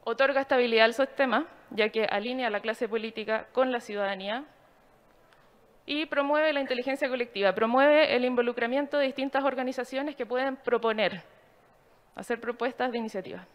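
A woman giving a formal, explanatory slide presentation in a measured way. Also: a little high, highs cut off.

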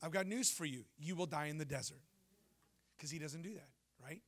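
A man in his thirties preaching a sermon on a church stage into a handheld mic, telling lively stories and asking the congregation questions. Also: on the high side, a little fast. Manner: animated storytelling preacher, jokes and asks the crowd questions. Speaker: pastor.

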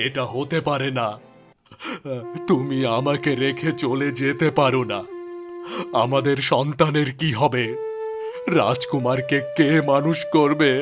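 A man's voice: medium pitch, fairly even intonation, measured pace, pauses often, lightly acted. A man voicing a devoted, emotional king in a fairy-tale cartoon, dramatic in delivery, with music behind him. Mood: grief-stricken. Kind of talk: melodramatic cartoon dubbing. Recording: loud music bed behind voice.

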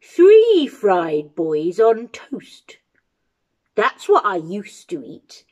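A woman voicing a very old, toothless giant in a storybook, gruff and grumbling, with booming, rustic, ungrammatical speech. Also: wildly swooping pitch, extremely slow, mellow, highs cut off, exaggerated voice. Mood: greedy, gloating.

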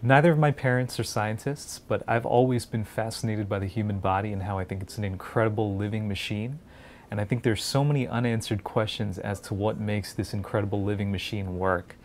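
A man's voice: lowish voice, a little fast, a little mellow, slight background noise. A man, earnestly talking to camera in an indoor research lab on a lapel mic. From India, raised in New Jersey. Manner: earnest, encouraging. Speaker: pediatric clinical fellow.

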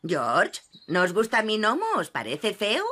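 A woman's voice: medium pitch, sing-song, measured pace, bright, forceful tone, heavily acted. A woman voicing a cheerful, determined grandma pig in a dubbed cartoon, sweet but insistent. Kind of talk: cartoon dubbing.

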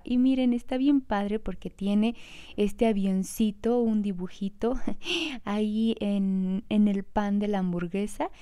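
A woman's voice: medium pitch, warm tone, smooth. A Mexican woman casually narrating a travel vlog from a café terrace by an airport, talking straight to her viewers and fond of little diminutives. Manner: chatty, addresses viewers directly, fond of diminutives. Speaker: vlogger.

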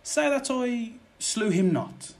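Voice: medium pitch, expressive, takes it slowly, a little mellow. An actor playing a mockingly sweet-tongued killer in a theatrical verse audio drama. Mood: taunting. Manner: theatrical, taunting.

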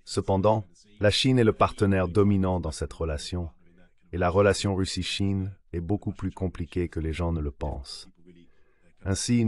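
This is a man's voice, clearly synthetic and very low in pitch. It delivers a scripted translation voice-over for an interview guest. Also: a little mellow.

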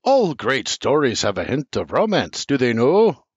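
A man's voice: mid-range voice, huge pitch swings, a little slow, husky, dry recording, full character voice. A man voicing an old, sentimental male storyteller with folksy dialect and a murmuring way of talking. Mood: jolly. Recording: dull lo-fi dry recording.

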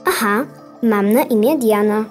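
A woman's voice: medium pitch, a little slow, soft background music, lightly acted. A female performer playing a curious, forthright young girl in a children's radio play, with a direct, questioning manner. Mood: puzzled. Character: curious, forthright young girl Diana lost inside a computer.

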